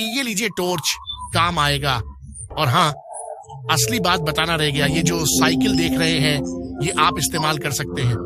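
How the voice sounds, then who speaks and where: A man's voice: fairly high pitch, moderate pace, over loud music, close to an everyday voice. A man playing a middle-aged, obliging village caretaker in a horror story, talkative and deferential, repeating his words. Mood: cautioning. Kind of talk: acted audio horror story dialogue.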